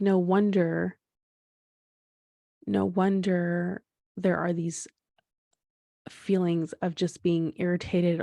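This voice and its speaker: A woman's voice: on the low side, creaky, dark tone, no echo, studio quality. A woman in her thirties hosting a solo podcast, talking things through in a rambling, reflective way with frequent restarts and fillers. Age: 30s. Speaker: podcast host.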